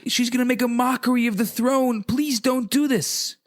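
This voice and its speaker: Man acting pleading young prince, voice pitched high. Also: fairly even intonation. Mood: pleading, alarmed. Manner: pleading, drawn-out. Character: pleading, alarmed young princes, older brothers.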